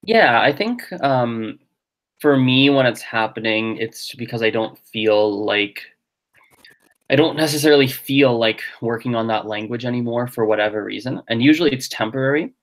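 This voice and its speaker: Casting note: young male guest, native Gujarati speaker, easygoing, mid-pitched and unhurried, musing out loud. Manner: relaxed, thinking aloud with many fillers. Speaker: guest language teacher and podcaster. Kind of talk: casual interview chat.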